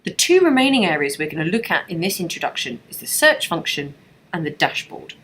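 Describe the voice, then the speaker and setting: A woman narrating a scripted software tutorial as a trainer, explaining things step by step in an instructional way. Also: on the low side, very animated, fairly quick, a little hiss or hum.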